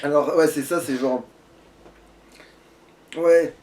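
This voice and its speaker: Gamer guy, higher-pitched, swearing freely. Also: steady tone, slow, noisy background. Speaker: gamer playing in a let's play. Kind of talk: casual gameplay chat. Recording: music playing behind the voice.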